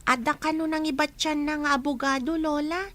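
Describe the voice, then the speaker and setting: An actress in a radio drama playing a giggly, earnest young granddaughter. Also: female voice, high-pitched, fairly even intonation, brisk, fairly bright tone.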